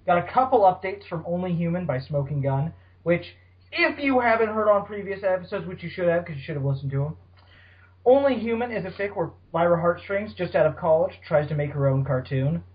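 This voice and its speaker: Higher-voiced man, bantering podcast host, dull audio. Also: lively intonation, brisk. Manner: bantering, swearing. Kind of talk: casual chat about fan fiction.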